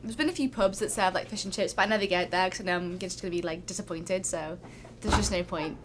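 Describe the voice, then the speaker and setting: A young Englishwoman answers questions in a relaxed, meandering way, her sentences full of small fillers. Her voice sits a little low for a woman. A faint background hum runs behind her. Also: fast talker. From England. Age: young adult. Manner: relaxed, rambling, lots of "like". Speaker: international student interviewee. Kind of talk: casual interview answers.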